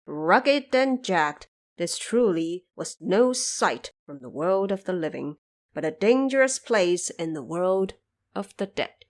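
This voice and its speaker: A woman narrating a scripted audio drama as a storyteller, in a measured, formal and solemn manner. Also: medium pitch.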